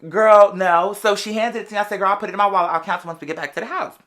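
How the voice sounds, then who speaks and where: A podcast host telling a personal story in a casual, animated, sassy way, full of slang and drawn-out emphatic words. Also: mid-range voice. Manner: animated, sassy, slangy, addressing listeners as "girl". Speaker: podcast or live show host. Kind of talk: casual storytelling monologue to an audience.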